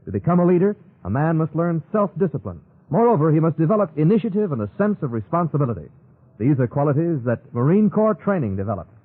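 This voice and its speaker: A man's voice: fairly high pitch, big pitch swings, quick pace, husky. A man reading scripted announcements in a mid-century radio style. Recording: narrow-band old radio broadcast.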